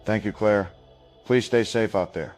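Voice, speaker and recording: A man performs a serious local news anchor with a fairly low voice. His delivery is formal and sober, as in breaking news, with music underneath the audio drama. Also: a little slow, a little mellow, natural voice.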